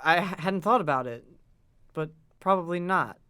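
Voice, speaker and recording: A man's voice: high voice, moderate pace, sharp tone, clean tone. A man playing a polite, hesitant sixteen-year-old boy in an audio drama. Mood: curious, earnest. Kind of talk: nostalgic audio drama acting.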